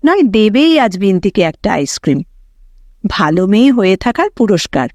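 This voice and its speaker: A woman narrating a story as an audiobook reader, in an expressive, dramatic way that brings out the characters' thoughts. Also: mid-range voice, normal speed, dry recording, clean studio sound.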